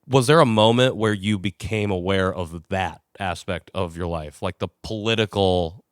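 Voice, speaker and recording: Low-voiced man hosting a podcast. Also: normal speed, dry recording, studio quality. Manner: easygoing, frequent affirming "yeah" and "sure". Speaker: podcast host. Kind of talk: casual interview chat.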